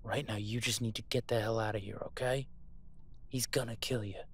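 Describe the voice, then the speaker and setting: A man's voice: on the low side, steady tone, moderate pace, breathy edge. A man acting an embattled, protective supernatural fighter in an audio roleplay, urgent and commanding. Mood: urgent, insistent.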